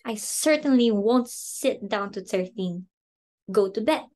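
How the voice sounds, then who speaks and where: A woman's voice: mid-range voice, lively intonation, measured pace, dark tone, close to an everyday voice. A woman playing an imperious, short-tempered, status-obsessed society hostess and mother in an amateur play reading, with an indignant, theatrical delivery. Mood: furious, scolding.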